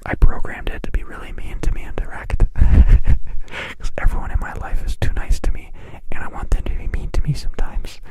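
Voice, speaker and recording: A man, a native Polish speaker raised in Canada, whispers a playful live-stream chat close to the mic and softly repeats words for effect. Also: normal speed. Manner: whispering throughout.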